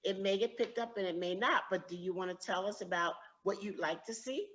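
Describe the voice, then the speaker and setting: A woman's voice: medium pitch, brisk, fairly bright tone, highs cut off. A woman hosting a conversational interview in an effusive, complimentary manner. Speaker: interviewer, host.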